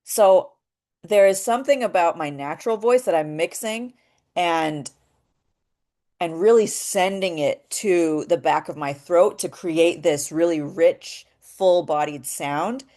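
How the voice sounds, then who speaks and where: A woman with a naturally deep voice, leading a voice workshop in a thoughtful, searching way, with many pauses and restarts. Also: mellow. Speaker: voice workshop leader. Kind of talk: reflective workshop talk.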